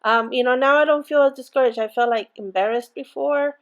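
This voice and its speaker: A woman's voice: sits high, fairly quick, highs cut off. A woman with an accent hosting a casual crafting tutorial, chatty and rambling with many fillers and restarts. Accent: non-native English accent. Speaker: crafting channel host.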